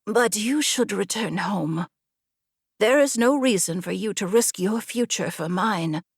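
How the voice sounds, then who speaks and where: Woman doing a determined young battle maiden in a fantasy audiobook, resolute, slow and deliberate, very dry sound. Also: mid-range voice, expressive, husky, no echo. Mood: earnest. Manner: resolute, earnest. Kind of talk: audiobook character dialogue.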